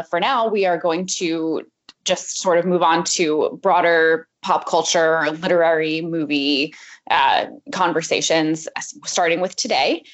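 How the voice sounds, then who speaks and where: A woman co-hosting a podcast, talking in a relaxed, chatty way with lots of fillers. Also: on the low side. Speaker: podcast co-host. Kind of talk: casual podcast chat. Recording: slightly dull lo-fi audio.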